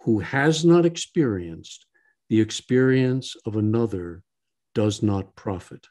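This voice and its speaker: Man with a lively, swinging delivery. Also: lowish voice, slow. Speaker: podcast co-host. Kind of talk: podcast discussion of spirituality.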